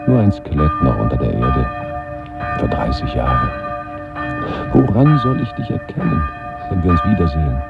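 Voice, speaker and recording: Radio drama: brooding inner monologue, halting, gravelly, very low, over loud bells in a dull recording. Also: male voice, big pitch swings, over loud music, heavily acted. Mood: mournful. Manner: musing, halting, speaking to himself. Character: brooding man remembering his dead, musing inwardly. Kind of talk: radio play interior monologue. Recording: muffled 1970s radio, loud tolling bells.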